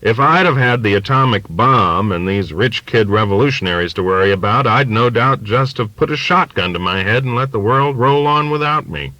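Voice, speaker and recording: A man's voice: mid-range voice, animated, moderate pace, few pauses, pushed and bright, no room sound, highs cut off, voice pushed up. A man voicing an emphatic, folksy, rambling old working-class father in an audiobook. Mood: confiding, agitated. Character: bigoted, confiding, long-winded old working-class American father. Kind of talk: audiobook character dialogue.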